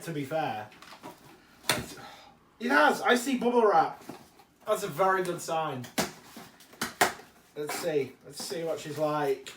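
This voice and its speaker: A man with a cold talks on in a chatty, eager way, often correcting himself as he goes. His voice sits fairly high. He is recorded from a distance in a room that echoes. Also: background noise. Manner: chatty, enthusiastic, self-correcting asides. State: has a cold. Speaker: toy collector vlogger. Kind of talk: casual unboxing chat. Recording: roomy, distant mic.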